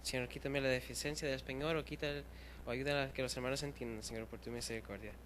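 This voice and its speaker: A man's voice: medium pitch, steady tone, quick pace, fairly bright tone, slight background noise. A young man in his twenties from Chile, preaching to a youth group in a casual, self-deprecating way and slipping English words into his talk. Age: young adult. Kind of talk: casual storytelling sermon. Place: church hall.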